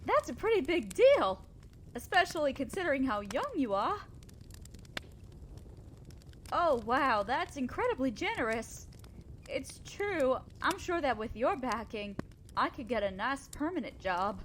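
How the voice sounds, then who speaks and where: High-voiced, kind-hearted centaur woman played by an actress. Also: very animated, moderate pace, a little hiss or hum. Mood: amazed, grateful. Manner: earnest, gentle, hesitant. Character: kind, selfless, self-doubting female centaur wanderer. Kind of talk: roleplay audio drama addressed to the listener.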